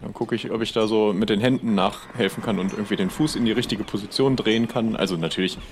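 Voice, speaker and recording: A man's voice: on the low side, fast talker, noisy background. A man role-playing casually in an improvised adventure game, tentatively thinking aloud as a player.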